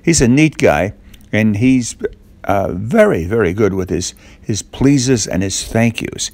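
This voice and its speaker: A man telling a children's story off the cuff, in a halting way with little questions to the listener. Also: on the low side, animated, a little mellow, no echo.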